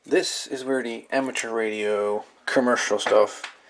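A man giving a casual, folksy hands-on demonstration as a hobbyist, musing and pausing as he goes, recorded indoors at a workbench. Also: mid-range voice, fairly even intonation. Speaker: hobbyist radio video maker. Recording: light background noise, clicks of handled parts.

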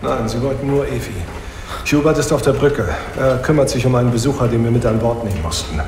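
A man's voice: medium pitch, fast, background noise, close to an everyday voice. A man playing a young starship captain, decisive and friendly. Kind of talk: audio drama acting.

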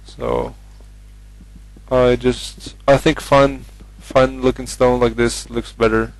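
A young man from Norway speaks English with a Norwegian accent while commentating a game. His delivery is flat and even, with little rise and fall. He hesitates often, filling gaps with ums and uhs as he chats. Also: medium pitch, slow, dry recording, poor audio. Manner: hesitant, many fillers, chatty. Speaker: gamer.

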